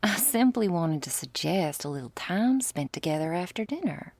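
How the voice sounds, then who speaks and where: A woman's voice: on the low side, expressive, moderate pace, a little mellow, no room sound. A woman playing a teasing, doting female vampire lover in an intimate ASMR roleplay, with whispery asides and quaint, affectionate wording. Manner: breathy asides, pet names, quaint turns of phrase.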